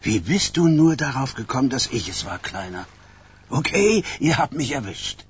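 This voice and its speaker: A man's voice: mid-range voice, sing-song, normal speed, breathy edge. An actor playing a flamboyant older gangster in a radio crime drama, mocking and teasing everyone he talks to. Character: sardonic, dandyish old gangster with lapdog.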